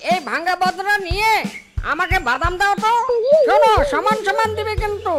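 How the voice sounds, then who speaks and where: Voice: extremely high pitch, normal speed. A performer voicing a suspicious, demanding customer in a cartoon, with music behind. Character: demanding, suspicious customer haggling for a fair trade. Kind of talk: cartoon voice acting. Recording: loud background music.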